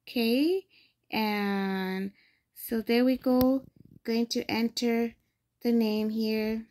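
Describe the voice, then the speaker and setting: A woman's voice: a little high, fairly even intonation, extremely slow, darkish tone. A young adult woman from Ghana, hosting a screen-recorded phone tutorial and explaining each step patiently. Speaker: tutorial host.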